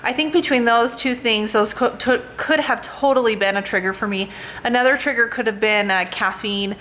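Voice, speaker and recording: A woman's voice: a little high, normal speed, a bit bright. A woman vlogging in a casual, chatty way, rambling with lots of fillers. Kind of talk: casual chat to camera. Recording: noisy background hum.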